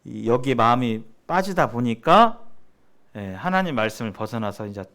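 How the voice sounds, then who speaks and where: A man's voice: mid-range voice, very animated, normal speed, a bit bright. Man giving a conversational church teaching. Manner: conversational and didactic, posing questions to listeners. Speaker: church seminar speaker. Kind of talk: sermon-like teaching to church members.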